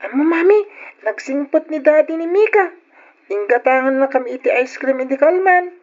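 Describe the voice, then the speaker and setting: A playful small child chattering eagerly in an Ilocano radio serial. The voice is high. The sound is dull and lo-fi. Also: female voice, lively intonation, normal speed, a little mellow. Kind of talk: Ilocano radio drama acting. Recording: muffled lo-fi old radio recording.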